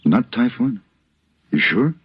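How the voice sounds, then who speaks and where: An actor playing a tough, principled Western hero in 1950s radio drama style, firm and deliberate, commanding and protective. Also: male voice, mid-range voice, sing-song, husky, exaggerated voice. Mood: steady. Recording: muffled, noisy old radio recording.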